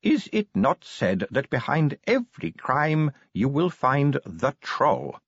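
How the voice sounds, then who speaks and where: An elderly dwarf, as a man plays him, speaks in a thin, raised voice. He sounds uncertain and slightly husky, a frail storybook conspirator. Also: medium pitch, sing-song, no echo, highs cut off, voice pushed up, full character voice. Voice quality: thin, old. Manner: hesitant, slow.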